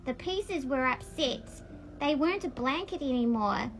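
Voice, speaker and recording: A woman's voice: high voice, lively intonation, moderate pace, soft background music, poor audio. A woman reading aloud in a childlike storybook manner, with a casual, homemade read-along style. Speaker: vlogger reading a picture book aloud.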